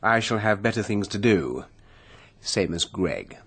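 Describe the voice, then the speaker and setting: An actor playing a breezy, smug English cousin in a British radio mystery, with an English accent and a flippant, self-assured manner. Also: male voice, on the low side, lively intonation, a little slow, a bit bright, husky, full character voice. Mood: breezy, sly.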